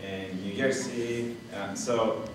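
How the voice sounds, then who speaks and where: Low-voiced man presenting in echoey room. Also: steady tone. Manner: halting, searching for words. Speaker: workshop presenter. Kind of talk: informal spoken presentation. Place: meeting room with brick walls, indoors. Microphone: distant camera microphone. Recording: distant, echoey, noisy room recording.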